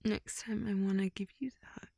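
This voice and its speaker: A woman playing a shy, tender young woman in an intimate romantic audio roleplay, with soft breathy speech that often drops to a whisper and a halting, flustered, affectionate manner. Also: medium pitch, little pitch movement, no room sound, clean studio sound. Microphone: close microphone, dry.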